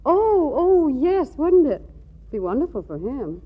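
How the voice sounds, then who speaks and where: Flustered young wife in an old radio comedy, really high and swoopy, dull old recording. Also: female voice, big pitch swings, a little hiss or hum. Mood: nervous cover-up. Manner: flustered, stammering, quick to cajole. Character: flustered, scheming young wife passing husband off as brother. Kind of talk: 1940s radio comedy acting.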